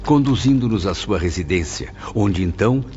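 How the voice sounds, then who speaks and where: Solemn male spirit memoirist played by an actor, deep voice, literary narration, slightly muffled, light music. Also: animated, moderate pace, a little mellow. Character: humble, reverent male spirit recounting his memories. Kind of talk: scripted first-person dramatized narration.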